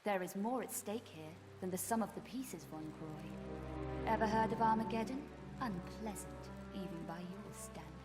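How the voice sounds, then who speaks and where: A woman's voice: mid-range voice, moderate pace, over music, close to an everyday voice. Woman as a cool adventuress in reverberant space. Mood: dry, challenging. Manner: dry, sardonic. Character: cool, sharp-tongued adventuress. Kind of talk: video game cutscene acting.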